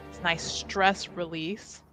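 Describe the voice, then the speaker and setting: A woman playing a tabletop roleplaying game, casually improvising narration about her character. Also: on the low side.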